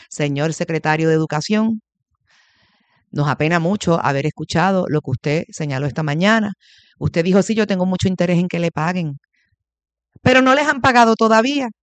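A man's voice: on the high side, a bit bright, no echo. A Puerto Rican man behind a studio microphone, talking bluntly and with emphasis as a radio host. The sound is close and dry, with no room around it. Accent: Puerto Rican Spanish. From Puerto Rico. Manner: blunt, emphatic, addresses listeners directly. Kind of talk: opinionated radio commentary.

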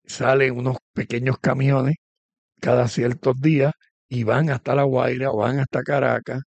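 Gravelly pastor host. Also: male voice, mid-range voice, little pitch movement, measured pace, no room sound. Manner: conversational, with many restarts and fillers. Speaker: pastor hosting a program. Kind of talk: interview.

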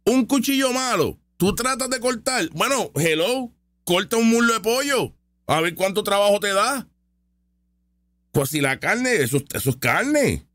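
Male host, sarcastic and argumentative, with a rather high voice that swoops all over. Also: big pitch swings, unhurried, a bit bright, dry recording. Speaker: online commentary host. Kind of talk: live reaction commentary.

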